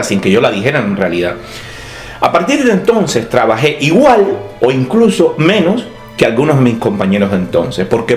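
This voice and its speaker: A man from Cuba with a Cuban Spanish accent, softened by years in Madrid, talking through a reflective spoken essay as a podcast host, with ironic asides. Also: medium pitch. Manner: ironic, lively intonation with wry asides. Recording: roomy echo with soft background music.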